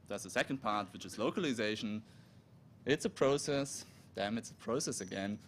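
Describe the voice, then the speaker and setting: A man whose first language is German gives a technical talk at a conference in a fairly low voice. He speaks haltingly, breaking off words and starting over. A faint rumble sits under the recording. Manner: halting, with restarts and self-corrections. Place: conference room.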